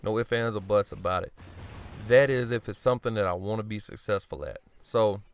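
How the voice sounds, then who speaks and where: A man with a lowish, smooth and resonant voice gives casual commentary over a video game. He rambles bluntly and swears freely, joking at his own expense and clearing his throat often. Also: a little fast. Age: adult. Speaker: gaming commentator.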